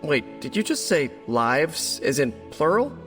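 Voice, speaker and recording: A man's voice: fairly high pitch, sing-song, unhurried, a bit bright, long reverb tail, faint music behind. A man playing a young American superhero in a game cutscene, chatty, jokey and self-deprecating, with nervous earnest rambling. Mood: playful, hesitant. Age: young adult. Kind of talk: video game cutscene acting.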